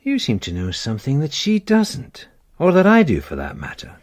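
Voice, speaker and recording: An actor playing a blunt, dogged detective sergeant in a radio crime drama, probing and plain-spoken. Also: male voice, mid-range voice, huge pitch swings, moderate pace. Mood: pressing, stern. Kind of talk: naturalistic radio drama dialogue.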